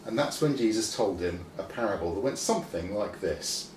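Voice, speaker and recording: A man reading a scripted children's story expressively, with deliberate pauses. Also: on the low side, expressive, a little mellow. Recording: roomy, distant mic, faint noise.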